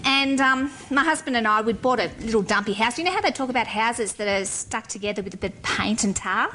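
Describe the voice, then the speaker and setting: A woman's voice: a little high, animated, brisk, pushed and bright, a little hiss or hum. A middle-aged woman, a civil engineer, giving a frank, wry talk to an audience. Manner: frank and wry, with blunt humor.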